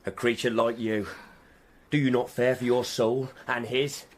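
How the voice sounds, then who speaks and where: An actor playing a stern, moralising coroner in a radio drama, brusque and accusing. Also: male voice, mid-range voice, little pitch movement, unhurried, a little hiss or hum, close to an everyday voice. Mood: harsh, accusing.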